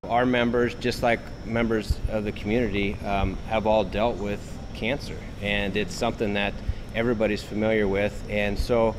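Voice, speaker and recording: Man, American accent, fairly low, interview, clear traffic noise behind. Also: little pitch movement, normal speed. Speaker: firefighters union representative. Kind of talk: interview soundbite. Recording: noisy outdoor street ambience.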